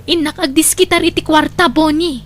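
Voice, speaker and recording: Woman playing young scheming Filipino girlfriend, very high voice, radio drama, music behind. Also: steady tone, normal speed, close to an everyday voice. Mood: demanding. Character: scheming, money-demanding young girlfriend, sweet to suitors. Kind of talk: Ilocano radio drama acting.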